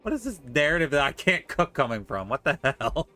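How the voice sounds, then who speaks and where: Animated bright-voiced man streaming a game. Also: fairly high pitch, brisk, soft background music. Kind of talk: casual live game commentary to chat.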